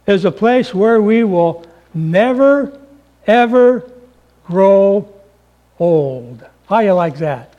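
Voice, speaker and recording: A man's voice: sits high, a little mellow. A man in his seventies preaching a sermon in a folksy, humorous way, full of rhetorical questions. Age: 70s.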